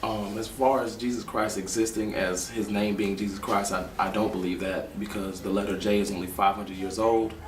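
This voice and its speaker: A man's voice: lowish voice, little pitch movement, normal speed, hardly any pauses. A man in his twenties giving long, earnest interview answers. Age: 20s. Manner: earnest, explaining at length. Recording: roomy echo from a distance with faint noise.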